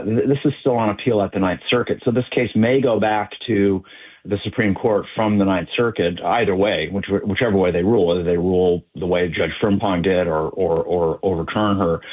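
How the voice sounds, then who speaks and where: Male legal guest by phone; low, deliberate, hesitant delivery, thin phone-line sound. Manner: measured, explanatory, halting with many fillers. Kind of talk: phone-in news interview. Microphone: telephone.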